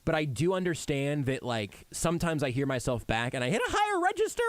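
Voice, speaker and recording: A man hosting a sports radio show, joking around in animated, self-deprecating on-air banter from a radio studio microphone. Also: fairly high pitch, quick pace, dry recording.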